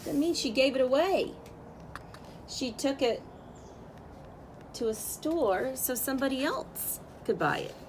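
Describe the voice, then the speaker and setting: A woman with a fairly high voice reads a children's story aloud. Her phrasing is expressive and measured, as a storyteller's. There is some noise in the recording. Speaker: storyteller reading a picture book aloud.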